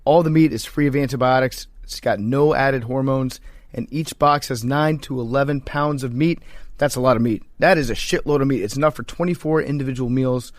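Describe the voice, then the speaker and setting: A man's voice: medium pitch, a little fast, no room sound, clean studio sound. A man co-hosting a podcast, talking casually and reading ads with a joking, mock-serious delivery. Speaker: podcast co-host. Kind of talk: casual banter and host-read ad.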